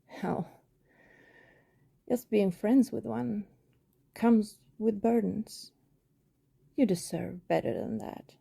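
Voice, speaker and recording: A woman playing a lonely, tender, guilt-ridden vampire neighbor in an intimate ASMR roleplay, speaking softly in a breathy whisper with pauses. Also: mid-range voice, no echo, full character voice. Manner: slow, hushed, intimate, with pauses.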